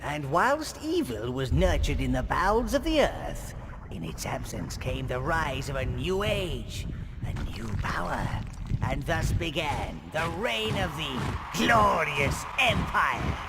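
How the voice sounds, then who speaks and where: Old scheming minion, croaky, very animated pitch, theatrical menace, gleefully evil, game cutscene. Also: male voice, slow, very noisy, heavily acted. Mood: sinister relish. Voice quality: gravelly, croaky. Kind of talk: video game character narration.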